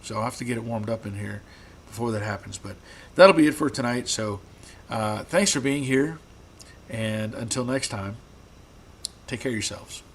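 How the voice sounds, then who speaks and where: A man hosting a live stream from his garage, answering viewer comments in a relaxed, halting way, repeating words, his voice getting rough after long talking. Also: medium pitch, a little hiss or hum. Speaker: host.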